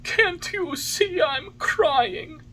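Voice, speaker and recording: A woman's voice: very high-pitched, lively intonation, normal speed, pushed and bright, a little hiss or hum, voice pushed up, heavily acted. A woman voicing a childlike, emotional little wooden puppet boy in a storybook, with a plaintive, exclamatory delivery. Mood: tearful, startled.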